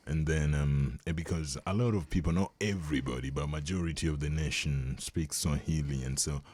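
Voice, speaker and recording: A young Kenyan man whose first language is Luo, explaining things in a relaxed, conversational way during an interview and chuckling often. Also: extremely low pitch, fairly quick, slight background noise. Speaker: guest.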